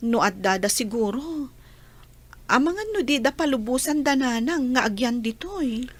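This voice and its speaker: A woman playing a gentle young college student in love, in a radio drama. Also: a little high, lively intonation, moderate pace. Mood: friendly.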